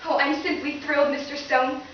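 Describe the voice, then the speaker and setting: Actress as wholesome schoolgirl, high voice, perky, gushing, radio comedy, very echoey stage. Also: level tone, fairly quick, fairly bright tone, dull audio. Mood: cheerful excited. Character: gushing, wholesome teenage schoolgirl, principal's daughter. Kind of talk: old-time radio comedy acting. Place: live stage performance in a reverberant room. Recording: echoey distant stage recording, faint noise.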